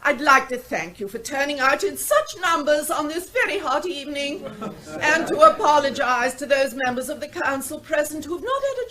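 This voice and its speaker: An actress playing a brisk headmistress who chairs a public meeting formally and calls the room to order in a vintage radio drama. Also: female voice, high voice, sharp tone.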